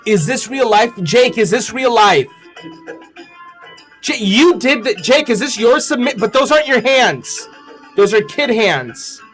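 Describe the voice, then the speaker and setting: A man hosting a children's show, joking and reacting with exaggerated excitement. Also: very high voice, unhurried, faint music behind. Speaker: host of a kids' show. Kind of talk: playful, animated hosting.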